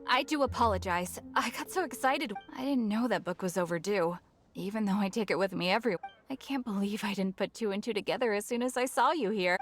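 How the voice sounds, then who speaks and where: A woman playing an eager, polite, excitable young female shopkeeper in a video game, talking earnestly. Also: fast talker, soft background music, close to an everyday voice. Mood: apologetic. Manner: earnest, sales-pitch eagerness. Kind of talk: video game character acting.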